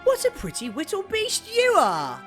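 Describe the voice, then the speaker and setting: Excitable little gnome, woman's put-on voice. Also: high-pitched, moderate pace, soft background music, exaggerated voice. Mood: delighted. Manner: gushing and breathless with delight.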